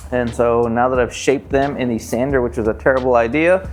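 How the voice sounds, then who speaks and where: A man with a medium-pitched voice explains his handiwork in a loose, slangy way with some joking. There is faint music in the background. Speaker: exhaust fabricator presenting his work. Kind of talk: casual vlog chat. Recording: music behind the voice.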